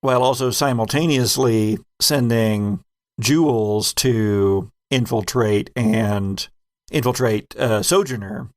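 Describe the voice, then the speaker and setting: A man's voice: mid-range voice, takes it slowly, dry recording, clean studio sound. A man who hosts as game master, talking casually in a podcast retrospective.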